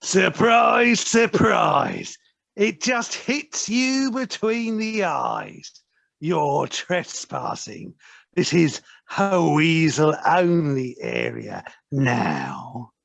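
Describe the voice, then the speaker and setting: A man playing a menacing, mocking weasel gang boss in an amateur audio play, taunting in a creaky, drawn-out voice. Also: a little high, lively intonation, a bit bright, dry recording, exaggerated voice. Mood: gloating menace.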